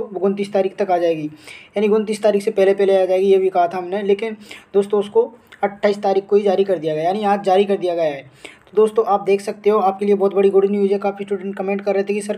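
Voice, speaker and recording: A man's voice: high voice, warm tone. An Indian man hosting an educational update channel, talking informally through a screen-share tutorial and repeating himself with frequent check-in tags. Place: voice-over on a phone screen recording.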